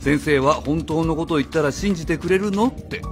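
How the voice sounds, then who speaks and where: A man playing a blunt, accusing outsider in a radio drama, confrontational and rough in his speech. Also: fairly high pitch, fairly quick, natural voice. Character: blunt, accusing male outsider challenging authority. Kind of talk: Japanese radio drama acting. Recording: faint music behind the voice.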